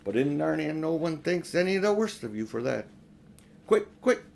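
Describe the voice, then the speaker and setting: A man's voice: on the high side, very animated, a little fast, creaky, darkish tone, slight background noise. Older man reading a storybook aloud. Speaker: amateur audiobook reader. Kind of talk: storybook narration.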